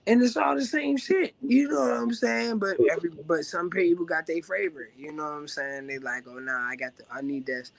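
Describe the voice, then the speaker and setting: Raspy laid-back man rapper. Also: a little high, brisk, few pauses, crowd noise, poor audio. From Washington, D.C. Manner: laid-back, loose, full of "you know what I'm saying". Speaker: rapper guest. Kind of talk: casual interview chat.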